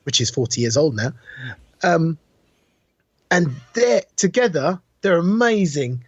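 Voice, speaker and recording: A man's voice: on the high side, sing-song, unhurried, dry recording. A man chatting casually and enthusiastically as a podcast co-host, with informal, emphatic delivery. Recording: dull, muffled lo-fi audio.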